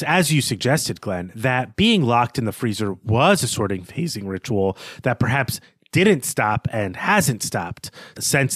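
A man's voice: medium pitch, no echo. Man, podcast co-host, mid-range voice, analytical and speculative, conversational book talk, very dry close recording. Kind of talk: conversational literary discussion.

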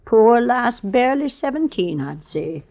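A woman's voice: a little high, very animated, unhurried, creaky, a little mellow, full character voice. An actress playing a simple, confused village neighbour woman with quaint rustic phrasing in an old radio drama. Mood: bewildered, pitying. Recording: muffled vintage radio recording.

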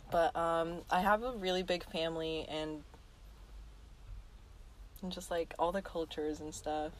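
Young woman, very low voice, blunt and outspoken, faint background noise. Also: fairly even intonation, unhurried. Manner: blunt and outspoken, casual swearing. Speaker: panelist. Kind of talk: casual group chat.

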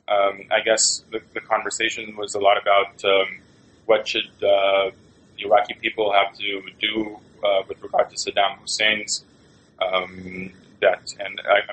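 Male interviewer, lowish bright voice, halting academic questioning, faint noise behind. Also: lo-fi sound. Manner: halting, searching for words.

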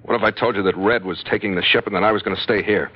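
An actor playing a big, tough ship's skipper in a terse, commanding, old-time radio adventure style. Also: male voice, on the low side, fairly even intonation, very fast, lightly acted. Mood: low, serious. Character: big, tough, hard-boiled male ship's skipper, narrating his adventures. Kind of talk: 1940s-50s radio adventure acting, clipped first-person narration. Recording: dull old radio recording, loud music behind.

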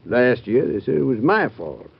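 An American man playing a blunt, folksy, fatherly former president in an old-time radio drama, with a gravelly voice. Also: medium pitch, sing-song, normal speed, full character voice. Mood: wry. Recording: tinny old radio show recording.

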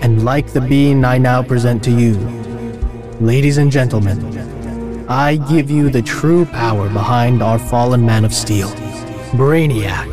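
A man's voice: medium pitch, measured pace, music in the background, lightly acted. An actor playing a scheming billionaire villain with a self-assured, showman-like way of speechmaking in an audio drama. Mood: grandiose. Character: smooth scheming billionaire villain addressing a crowd.

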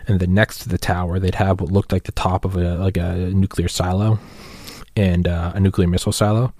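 A man with a low-pitched voice delivers a loose solo podcast rant. He rambles conversationally, peppering it with slang and the odd swear word. The sound is dry, with very little room around the voice. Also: level tone, brisk, darkish tone, no room sound. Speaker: podcast host. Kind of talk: casual solo rant monologue.

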